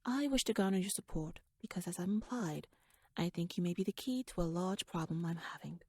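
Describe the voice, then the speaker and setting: Casting: actress as wry, scheming royal lady for ASMR roleplay; husky, breathy voice, formal and wordy, very close dry sound. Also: lowish voice, fairly quick, darkish tone, no room sound. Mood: musing, confiding. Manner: wordy, formal, rhetorical, musing. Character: shrewd, talkative, scheming royal noblewoman, playfully wry. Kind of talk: ASMR audio roleplay monologue addressed to the listener.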